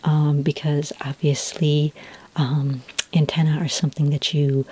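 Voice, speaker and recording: A woman playing a kindly alien skin care consultant in an ASMR roleplay, speaking in a breathy near-whisper with a gentle, unhurried, reassuring manner. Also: low voice, flat delivery. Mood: soothing, reassuring.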